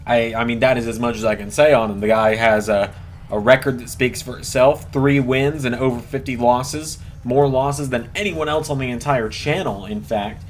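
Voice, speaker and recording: A man commentating play-by-play on a wrestling video game as channel host, with announcer-like, drawn-out emphasis. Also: medium pitch, slight background noise. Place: voice-over recorded at a computer over gameplay.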